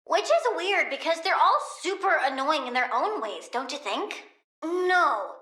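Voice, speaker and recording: A woman's voice: sits high, sing-song, a little slow, pushed and bright, roomy sound, full character voice. A woman voicing a young, childlike plant-woman supervillain in a video game, curious, blunt and petulant, with an inquisitive, awkward manner. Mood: matter-of-fact. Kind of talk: video game character acting.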